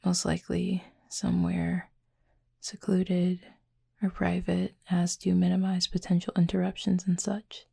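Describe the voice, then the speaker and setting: Woman as a reserved cupid roommate, audio roleplay, mellow and husky, very subdued intonation, gently teasing, soft-spoken. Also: on the low side, monotone, full character voice. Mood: reserved, thoughtful. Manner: soft-spoken, breathy, dry and measured. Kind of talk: intimate roleplay audio drama, addressing the listener.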